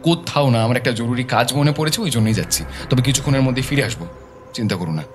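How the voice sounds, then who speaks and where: A man's voice: mid-range voice, expressive, fast, faint music behind, natural voice. A man acting a troubled, earnest male private detective in an audio story, speaking with urgency. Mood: hurried, reassuring.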